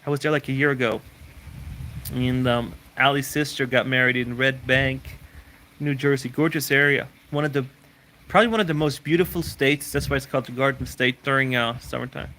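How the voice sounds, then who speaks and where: Bright-voiced man rambling through live Q&A. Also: mid-range voice, slight background noise, rough recording. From Switzerland. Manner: rambling, repeats words, frequent restarts. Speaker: livestream host answering viewer questions. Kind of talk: casual live Q&A chat.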